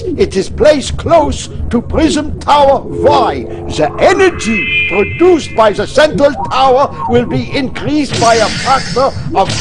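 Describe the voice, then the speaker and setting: An actor playing an eccentric elderly scientist in a game, with a German accent and a halting, excitable, professorial manner. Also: male voice, very high voice, heavily acted. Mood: excited. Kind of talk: video game cutscene acting.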